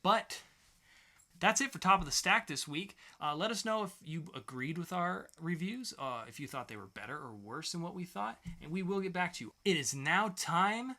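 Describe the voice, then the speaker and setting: A man with a forward, bright voice that sits a little high. He talks like an enthusiastic podcast co-host in casual review chat, repeating himself to drive a point home. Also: lively intonation, moderate pace. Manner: enthusiastic, repeats phrases for emphasis.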